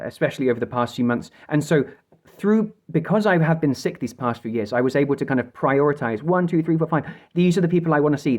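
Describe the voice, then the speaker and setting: A man speaking reflectively in a personal video diary, with short halting phrases and a grateful manner. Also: medium pitch. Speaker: vlogger sharing a health update.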